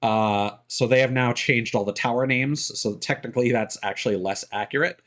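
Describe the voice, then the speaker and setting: A man's voice: medium pitch, normal speed, a bit bright. A man streaming a game, chatting casually to his audience with lots of ums and uhs. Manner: rambling, many fillers.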